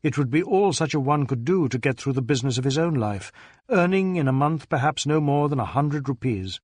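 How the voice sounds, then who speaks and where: A man's voice: mid-range voice, expressive, brisk, darkish tone, no room sound, studio quality. A man reading scripted literary narration as an audiobook narrator.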